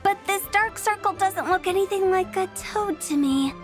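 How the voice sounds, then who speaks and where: A woman's voice: extremely high pitch, moderate pace, faint music behind. A woman voicing a friendly, inquisitive young girl in a video game, speaking expressively. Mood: curious, musing. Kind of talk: video game cutscene acting.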